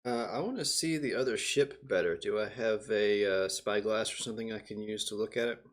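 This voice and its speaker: A man with a fairly low voice talks as a player in a role-playing game. His delivery is casual and unscripted, like someone making simple spoken requests. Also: fairly quick.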